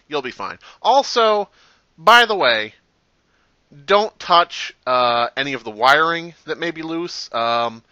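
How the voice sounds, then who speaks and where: A man playing a nervous, rambling pizzeria employee in a parody horror-game phone message, halting and falsely reassuring, on a cheap telephone-like microphone. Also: medium pitch, bright, forceful tone, dry recording. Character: nervous, rambling phone-message guy briefing a doomed night guard. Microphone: cheap replacement microphone.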